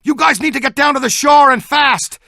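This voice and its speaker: A man's voice: extremely high pitch, moderate pace, vocal fry, sharp tone, no echo, clean studio sound, full character voice. A man voicing an eager, good-natured ghost-hunting scientist in a video game, earnest and technical. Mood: excited, urgent. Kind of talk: video game dialogue, battle shouts and banter.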